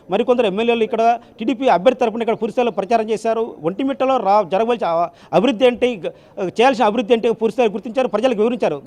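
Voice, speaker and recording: A male field reporter gives a live election report. He talks very quickly in a high voice, wordy and repetitive, tripping over word starts as he goes. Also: darkish tone.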